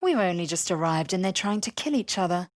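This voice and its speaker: A woman's voice: lowish voice, animated, brisk, dry recording, clean studio sound, close to an everyday voice. An actress playing a bold, sardonic female spellcasting adventurer in a video game, with a wry, punchy, theatrical delivery. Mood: wry, cocky. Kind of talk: scripted video game character lines.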